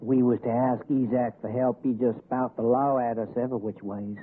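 A man's voice: mid-range voice, fairly even intonation, moderate pace, husky, natural voice. A man playing a nearly grown, uncertain mountain son in a 1960s radio drama. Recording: tinny vintage radio, faint music.

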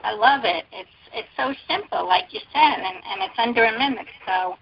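A woman hosting an interview, talking in an earnest, personal and slightly halting way. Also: on the high side, very animated, vocal fry, a little hiss or hum. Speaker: interview host. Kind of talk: casual interview chat. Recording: dry, dull lo-fi recording.

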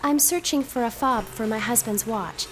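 An actress playing a devoted young newlywed wife, earnest and hesitant, in a radio drama. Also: female voice, a little high, normal speed, lightly acted. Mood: eager, earnest. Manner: hesitant, earnest, breathlessly eager. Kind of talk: stage-style radio drama acting.

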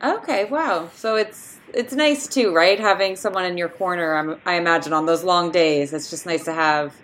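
A woman's voice: lowish voice, moderate pace, fairly bright tone. A woman hosting a casual interview, chatty and affirming, often tagging questions with "right". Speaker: host.

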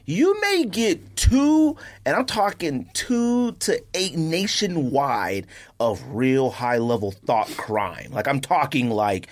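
A man with an American accent chatting casually as a podcast co-host, telling stories in an animated, slangy way with frequent chuckles. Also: mid-range voice, slow pace. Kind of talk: casual chat with anecdotes.

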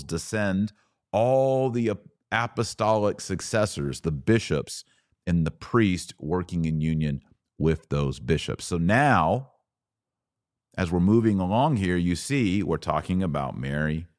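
A man's voice: sits low, no echo, studio quality. A man hosting a religious podcast, explaining scripture in a didactic, emphatic way, drawing out key words and posing rhetorical questions. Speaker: podcast host.

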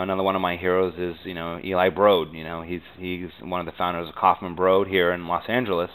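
An American man giving conversational interview answers as a business guest, earnest and halting, often saying "you know.". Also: low voice, a bit bright, clean tone, poor audio.

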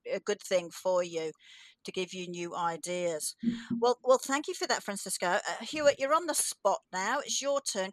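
A woman's voice: medium pitch, no echo, low-quality recording. A woman hosting an interview, prompting her guests with questions and frequent short acknowledgements. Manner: warm-toned prompting, frequent backchannels. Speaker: host.

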